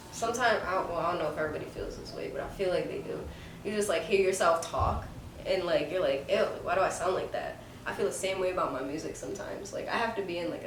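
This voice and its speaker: A woman guest on an interview show, talking candidly about her music with relaxed slang and swearing. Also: on the low side. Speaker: rapper guest. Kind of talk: casual interview chat. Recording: echoey room, mic at a distance, faint background noise.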